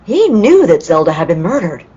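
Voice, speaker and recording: A woman's voice: fairly low pitch, sing-song, normal speed, mellow, breathy edge. An actress playing an earnest, helpful young woman assisting a detective in an old-time radio play. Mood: concerned. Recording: dull, lo-fi call audio.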